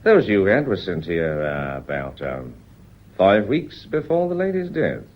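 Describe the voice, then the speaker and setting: British police inspector, low voice thats super animated, polite but probing, sly, old radio drama sound. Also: male voice, unhurried, dull audio, heavily acted. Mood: probing, mock casual. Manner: deferential, insinuating, falsely casual. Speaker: actor in a radio play.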